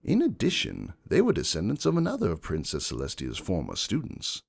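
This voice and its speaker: A man voicing a shrewd, composed aristocratic unicorn stallion who narrates his own story in a measured, calculating way. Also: sits low, wildly swooping pitch, creaky, husky, full character voice. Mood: calm, guarded. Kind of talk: first-person dramatic fan fiction narration.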